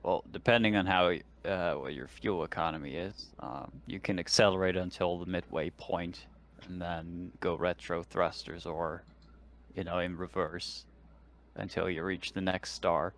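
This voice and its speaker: A man playing a tabletop game, talking casually in a halting, musing way, with faint noise on the recording. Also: low voice, fairly even intonation, fairly bright tone.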